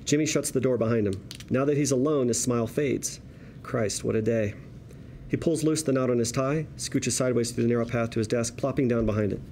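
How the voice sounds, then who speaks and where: A man's voice: mid-range voice, brisk, warm tone, slight background noise. A man reading screenplay stage directions as narrator at a drama table read.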